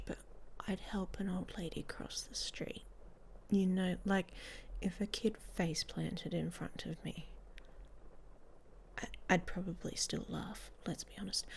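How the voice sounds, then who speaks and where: A woman playing a sardonic, guarded werewolf lover in an intimate ASMR romance roleplay, whispering close to the microphone in a dry, hesitant way. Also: on the low side. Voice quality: breathy whisper with creaky edges.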